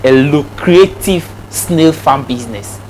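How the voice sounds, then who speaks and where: A man's voice: fairly high pitch, takes it slowly, darkish tone, a little hiss or hum, rough recording. A man with a Ghanaian accent answering casually as an interview guest and explaining things like a trainer. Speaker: interview guest, snail farming trainer and channel owner.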